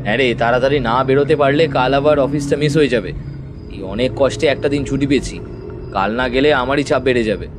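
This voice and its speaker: A man's voice: medium pitch, brisk, natural voice. An actor playing an impatient, irritable young city man in a horror audio drama. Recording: loud music over the voice.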